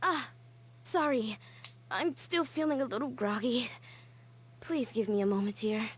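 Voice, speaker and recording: A woman voicing an earnest young woman scholar in a game, telling her story quietly and sighing often. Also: on the high side, unhurried, a little hiss or hum, lightly acted. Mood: wistful. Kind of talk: game cutscene voice acting.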